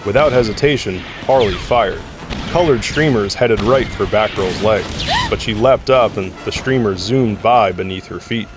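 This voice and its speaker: A man's voice: on the low side, moderate pace. A man reading scripted, dramatic narration for an audio drama. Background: music score.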